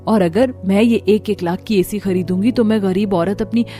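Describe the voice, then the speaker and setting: A woman's voice: mid-range voice, normal speed, warm tone, faint music behind, close to an everyday voice. A woman voicing a worried, poor village mother of five daughters in a cartoon story, weary and pleading.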